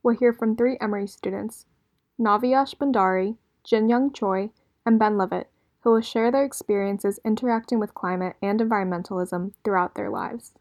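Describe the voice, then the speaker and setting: A young woman co-hosting a podcast, introducing the episode and its guests in a bright, announcing delivery. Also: mid-range voice, normal speed. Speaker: podcast co-host.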